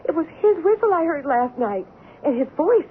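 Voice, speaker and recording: An actress playing a haunted, intense woman convinced of contact with spirits, with deliberate dramatic phrasing in old-time radio style. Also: high-pitched, animated. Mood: intense revelation. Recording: noisy, narrow-band old radio recording.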